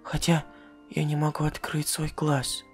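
A woman's voice: deep voice, monotone, measured pace, faint music behind. A woman voicing a weary, grateful young skeleton patient in a comic dub. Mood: weak, grateful.

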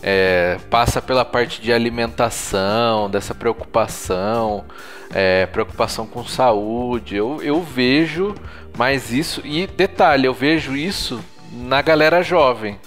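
A man in his forties co-hosting a casual podcast chat, relaxed and joking. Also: mid-range voice, takes it slowly, soft background music. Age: 40s. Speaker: podcast co-host.

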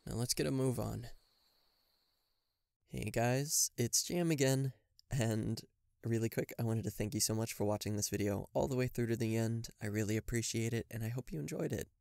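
A man's voice: mid-range voice, normal speed, creaky, darkish tone, no echo, studio quality. Man giving a casual creator outro. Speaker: voice actor and channel creator. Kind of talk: casual thank-you outro to viewers.

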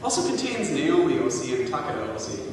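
Animated man, far off in echoey hall. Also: medium pitch, fast talker, a crowd in the background. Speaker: panel performer. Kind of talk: comic ad-libbed recap and reading. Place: large conference hall, panel table before audience. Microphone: far camera. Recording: distant camera mic, echoey hall, audience noise.

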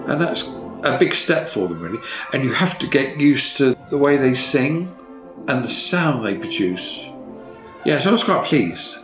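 An older man from England speaks gently and haltingly, his pitch moving lively up and down. He talks slowly in a roomy space with music playing underneath. It is a relaxed documentary interview in a living room. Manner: soft-spoken, hesitant, chuckling. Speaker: amateur choir singer. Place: living room with leather sofas. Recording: roomy sound with music behind.

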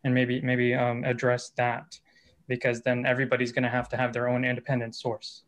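A man's voice: mid-range voice, completely flat delivery, brisk, a bit bright. A man from the audience asking a question on a video call, hesitant and searching for words.

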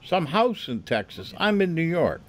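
A man's voice: fairly high pitch, sing-song, moderate pace. An older man on a phone call with a scammer, talking bluntly and stubbornly and getting irritated. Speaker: scam baiter.

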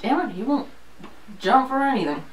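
A woman's voice: sits high, sing-song, moderate pace, a little hiss or hum. A woman chatting casually and laughing while she plays a video game, often cursing at the game in frustration. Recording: roomy, distant home video.

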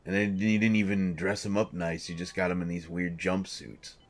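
A man's voice: sits low, little pitch movement, moderate pace, natural voice. A man playing a grumpy, irritable coach who grumbles and complains defensively in an audio drama. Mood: uneasy. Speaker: voice actor.

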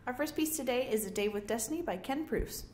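A woman's voice: fairly high pitch, animated, quick pace, a little mellow. A woman co-hosting a podcast in an upbeat presenting style, recorded in an empty office. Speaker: podcast co-host. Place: very empty, cave-like office indoors. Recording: echoey empty office, faint ambient noise.

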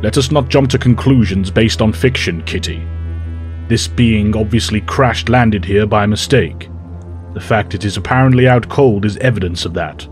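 An actor playing a calm, dignified male telepathic professor who speaks in a formal, measured way. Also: lowish voice, faint music behind, close to an everyday voice.

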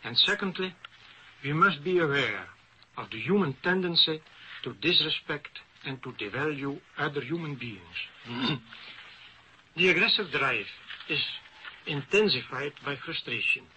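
A man with a Dutch accent giving formal academic panel remarks in careful, halting phrases. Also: on the high side, animated, sharp tone, lo-fi sound. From Netherlands. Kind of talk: formal prepared symposium remarks. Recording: noisy vintage radio recording.

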